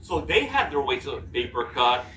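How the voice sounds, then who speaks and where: A man raised in the Philippines with a Filipino accent, telling stories to a live audience at a fan Q&A, animated and laughing as he acts out quoted lines. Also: mid-range voice, moderate pace. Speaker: TV show judge at fan Q&A. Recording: echoey, distant, with background noise.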